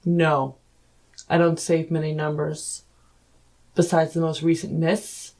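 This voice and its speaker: A man's voice: a little high, monotone, slow, darkish tone, poor audio. A man voicing a haughty dwarf noble with pompous, formal, clipped phrasing in a tabletop roleplaying game. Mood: composed, curt.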